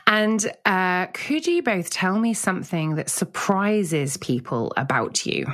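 A woman's voice: on the low side, animated, slow pace, fairly bright tone. A woman hosting a podcast, reading a scripted introduction and then interviewing students in an upbeat, encouraging way. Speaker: podcast host, director of student life.

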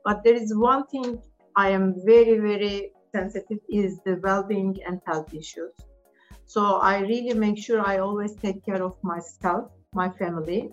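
A woman's voice: mid-range voice, steady tone, normal speed, muffled recording, faint music behind. A middle-aged Turkish woman speaking English as a second language, answering podcast interview questions in an earnest, direct way with some restarts. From Turkey. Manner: earnest, direct, non-native phrasing with restarts. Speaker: interview guest, business leader.

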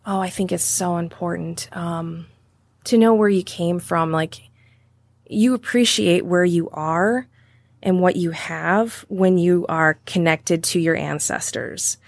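A woman's voice: fairly low pitch, slow, gravelly, no room sound. A woman in her thirties with a Wisconsin accent, talking casually as an interview guest, chatty with many fillers. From Wisconsin, USA.

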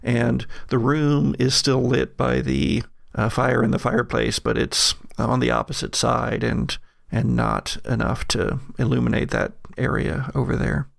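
A man narrating an improvised horror tabletop game as game master, halting and pausing mid-sentence to build suspense. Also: medium pitch, little pitch movement, vocal fry, no echo, studio quality.

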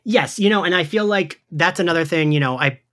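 A man hosting a podcast, chatting casually and informally with lively delivery. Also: fairly high pitch, fairly quick. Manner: animated, informal. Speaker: podcast host. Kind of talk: casual fan podcast chat.

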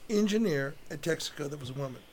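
A man's voice: fairly high pitch, animated, fast talker, a little mellow. A man co-hosting a podcast, talking in an encouraging, reflective, casual way. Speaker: podcast co-host. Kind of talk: casual interview chat.

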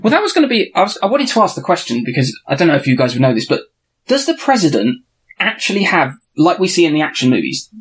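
A man's voice: fairly high pitch, wildly swooping pitch, fast, slightly rough. A man with a British accent chatting casually as a panelist on a podcast. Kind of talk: casual chat.

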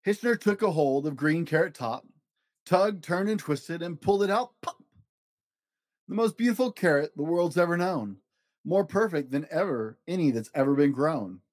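A storybook reader delivers rhyming narration for children with very lively, sweeping intonation. The sound is dry and close, and each line is shaped for a young listener. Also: mid-range voice, normal speed, dry recording. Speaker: picture book reader.

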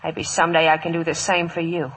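A woman's voice: low-pitched, flat delivery, quick pace, husky, low-quality recording. An actress playing a weary, wry saloon woman who also narrates, in 1950s radio drama style. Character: weary, wry Old West saloon woman telling her story. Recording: old 1950s radio broadcast, faint music.

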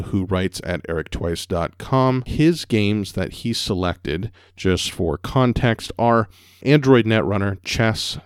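A man hosting a board game podcast, introducing the episode and interviewing a guest in a measured, conversational way. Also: on the low side, darkish tone, clean tone, no room sound, studio quality. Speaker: podcast host.

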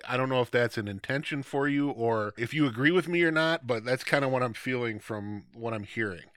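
A man's voice: medium pitch, fairly quick, fairly bright tone, no echo, clean studio sound. A man hosting a podcast, asking long, rambling, chatty questions of a guest. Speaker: podcast host. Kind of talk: casual interview.

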